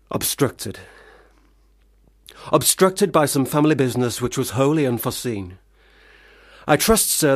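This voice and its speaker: An actor in a radio play, a man, playing a failed, self-pitying young gentleman writer with formal, wordy diction. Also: medium pitch, no echo, clean studio sound, lightly acted. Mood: formal, apologetic. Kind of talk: radio play monologue and dialogue.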